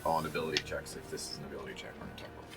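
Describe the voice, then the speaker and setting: A man's voice: a bass voice, flat delivery, racing. A man bantering casually as a player in a tabletop roleplaying game, slangy and joking. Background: music.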